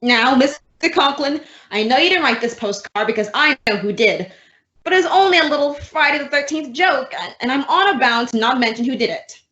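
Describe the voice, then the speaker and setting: A woman playing a wry, quick-witted schoolteacher with dry delivery in an old-time radio comedy. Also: high-pitched, expressive, a bit bright. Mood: wry, resigned. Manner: dry, wisecracking. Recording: roomy, dull lo-fi audio.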